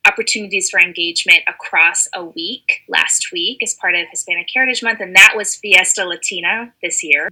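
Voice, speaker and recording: A woman being interviewed, explaining a campus event and often pausing with ums and uhs. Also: on the low side, bright, forceful tone, low-quality recording. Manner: many ums and uhs, searching for words.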